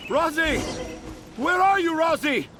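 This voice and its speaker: Flamboyant, dreamy storyteller, animated voice. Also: male voice, extremely high pitch, slow, noisy background, full character voice. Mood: worried calling. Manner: theatrical, flowery, poetic. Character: flamboyant, dreamy, self-important male children's storyteller and father. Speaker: children's author character. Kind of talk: video game character acting. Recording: music behind the voice.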